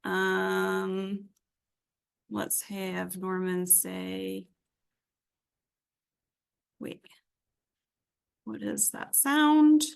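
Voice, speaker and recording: A woman teaching a software tutorial informally, thinking aloud as she works through each step. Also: medium pitch, steady tone, very slow, creaky, pauses often, clean tone. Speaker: workshop instructor.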